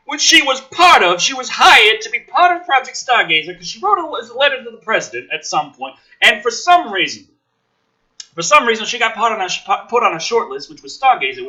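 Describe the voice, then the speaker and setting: A man doing a ranting commentary as he reads through a script, exasperated and heavily profane, breaking into shouted outbursts. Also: high voice, low-quality recording. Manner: swings between muttered reading and shouted outbursts. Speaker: host of a gaming and film commentary channel.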